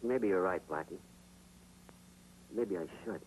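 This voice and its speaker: A stubborn, sullen American ex-con in a fifties TV crime drama. His voice is deep, with faint noise from the old soundtrack. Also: a little slow, darkish tone. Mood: wavering. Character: stubborn, sullen male ex-con cab driver. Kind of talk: 1950s television crime drama acting. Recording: noisy vintage 1950s TV soundtrack.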